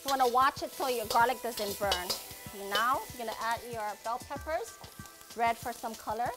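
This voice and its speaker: A woman's voice: fairly high pitch, normal speed. A woman in her thirties hosting a cooking show, explaining each step in a friendly way while she cooks in a big home kitchen on a lapel mic. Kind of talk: cooking demonstration. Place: large home kitchen, indoors. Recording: background music and frying sizzle.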